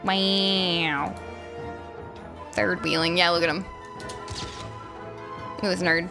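A woman streaming a game with casual, playful, animated commentary, exclaiming and teasing as she goes. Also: fairly low pitch, slow. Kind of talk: casual live gameplay commentary. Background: game music.

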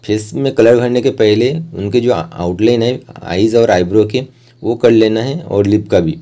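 A man with a low, very mellow voice talks through an art tutorial step by step. His delivery is plain and informal, like an instructor explaining each stage of the work. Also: unhurried.

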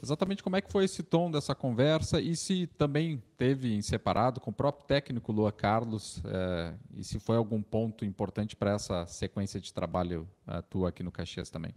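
A man's fairly low, mellow voice puts long, detailed questions to a player at a press conference. He speaks as a working sports reporter. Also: normal speed, hardly any pauses.

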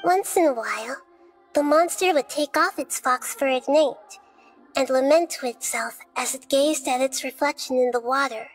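Game role, for an actress: wise, composed little goddess, soft girlish high voice, measured storybook delivery, music underneath. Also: lightly acted, poor audio. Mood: solemn storytelling. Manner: measured, thoughtful, articulate. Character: small childlike female deity, gentle, wise, composed. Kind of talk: video game dialogue and fairy-tale narration.

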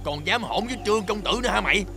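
A sadistic henchman in a period drama, high and gravelly. He speaks with a southern Vietnamese accent, bullying and repeating barked questions, with music playing underneath. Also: animated, racing. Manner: bullying, repetitive barking questions. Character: brutish, sadistic servant henchman, fawning to his master.

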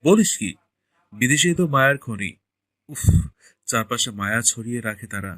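A man's voice: medium pitch, animated, moderate pace, bright, forceful tone, no room sound. A man playing a joking, teasing young friend in an audio story.

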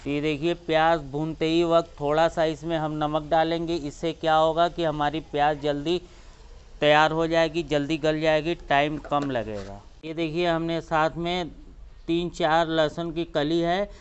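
A man's voice: fairly high pitch, fairly even intonation, moderate pace, clean tone, highs cut off. Man explaining a cooking recipe. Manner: explains each step, points things out. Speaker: cooking channel host. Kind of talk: step-by-step cooking demonstration. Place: outdoors on a brick courtyard beside a clay stove.

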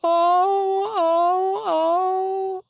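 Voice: mid-range voice, takes it slowly, no echo, muffled recording, voice pushed up. A narrator voicing an emotional wooden puppet boy in a storybook, with a pleading, exclamatory manner. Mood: wailing.

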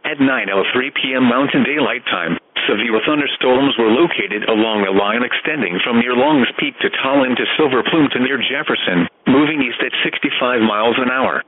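A synthetic text-to-speech man reading a formal, measured emergency weather warning as an automated radio announcer. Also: mid-range voice, a little hiss or hum. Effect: weather radio broadcast sound. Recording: tinny phone-like weather radio audio.

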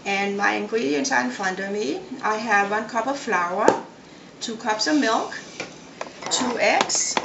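A middle-aged woman with a Danish accent, giving a casual, unhurried cooking demonstration step by step. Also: fairly high pitch, creaky, muffled recording. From Denmark. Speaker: home cook and cooking show host. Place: home kitchen, indoors. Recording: echoey room, loud noise, kitchen clatter.

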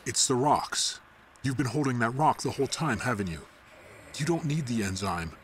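An actor playing an anxious, placating doctor in an audio drama, hesitant and stammering. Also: male voice, medium pitch, expressive, moderate pace, fairly bright tone, a little hiss or hum, close to an everyday voice. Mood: nervous pleading. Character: anxious, pleading male doctor, placating and evasive.

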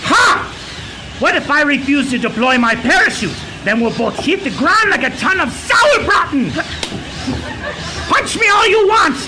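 A man playing a hot-headed Nazi air commander in a comic radio drama, shouting melodramatically. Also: extremely high pitch, very animated, unhurried, very noisy, full character voice. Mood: frantic, defiant.

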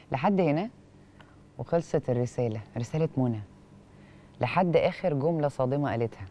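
Egyptian woman, TV host, low pitch, mellow tone, expressive storytelling delivery, studio lapel mic. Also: moderate pace, a little hiss or hum. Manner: expressive, rhetorical questioning. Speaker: television host. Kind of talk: reading a viewer's letter and commenting. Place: television studio.

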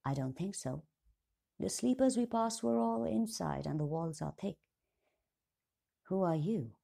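A cool, commanding young queen in a storybook, speaking low and measured as a woman narrator voices her. Her dark tone has a gravelly, husky grain. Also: lively intonation, exaggerated voice. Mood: questioning.

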